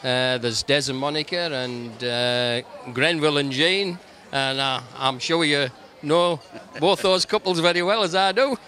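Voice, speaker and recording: A good-humoured man in his fifties with a Middlesbrough accent, chatting easily as a guest. His voice is bright and forward, with a relaxed, reminiscing flow. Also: a little high, a little slow, slight background noise. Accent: Middlesbrough English. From England. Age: 50s. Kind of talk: casual interview chat. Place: racecourse pavilion.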